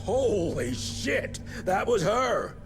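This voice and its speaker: An actor playing an alarmed, excitable male comrade in a game cutscene. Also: high-pitched, extremely slow, music in the background, full character voice. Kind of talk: dramatic video game cutscene acting.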